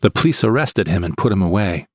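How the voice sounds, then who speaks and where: A man's voice: low voice, animated, fast talker. A man playing a frightened, bitter victim who recounts his ordeal in plain, short sentences for an audio drama monologue. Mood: grave recounting. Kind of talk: first-person scripted audio drama monologue.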